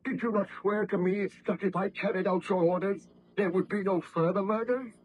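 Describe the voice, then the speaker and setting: An actor playing an anguished, horrified young scientist baron in impassioned, theatrical 1930s radio drama style. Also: male voice, high voice, steady tone, fairly quick, breathy edge. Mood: indignant. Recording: dull lo-fi old radio recording.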